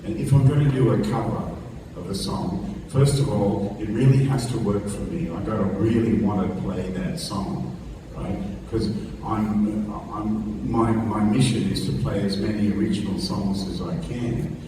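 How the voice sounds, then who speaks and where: A man's voice: fairly low pitch, normal speed, hardly any pauses, background noise. A man teaching a guitar workshop in a casual, rambling way, repeating and restarting words as he talks and plays. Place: large echoey hall before an audience. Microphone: distant microphone. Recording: roomy hall sound from a distance. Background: guitar playing.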